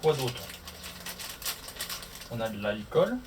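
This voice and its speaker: Male vlogger, mid-pitched and unhurried, casual how-to chat muttered while working, picked up from a distance. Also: big pitch swings. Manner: muttering to himself while working through steps. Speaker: motorcycle vlogger. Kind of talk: casual hands-on tutorial chat to camera. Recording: distant mic, clear background noise.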